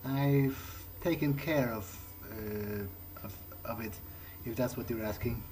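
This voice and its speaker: A man's voice: mid-range voice, takes it slowly, slight background noise, lightly acted. A man playing an awkward, dry vampire adventurer in a hesitant, rambling improvised commentary on a video game. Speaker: let's player. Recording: loud game music behind voice. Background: video game soundtrack.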